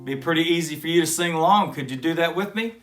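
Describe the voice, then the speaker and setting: A man hosting a kids' show, talking gently and folksily to children between guitar demos. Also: fairly high pitch, fast. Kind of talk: friendly talk to kids with guitar demos. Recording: music loud in background.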